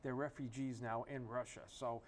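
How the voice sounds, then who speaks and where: A man's voice: mid-range voice, flat delivery, normal speed. Opinionated man asking rhetorical questions. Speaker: talk show co-host. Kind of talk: casual political chat.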